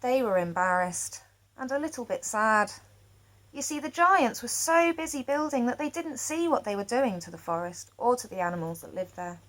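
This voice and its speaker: A woman storyteller reading a children's picture book aloud expressively, with dramatic pauses. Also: on the high side, lively intonation, fairly bright tone.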